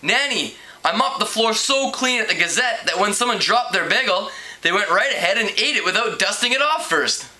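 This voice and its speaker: A man's voice: high-pitched, lively intonation, moderate pace, pushed and bright, voice pushed up, heavily acted, rough recording. A man voicing an eager, earnest teenage boy copy kid in a storybook, polite and hopeful. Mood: boastful.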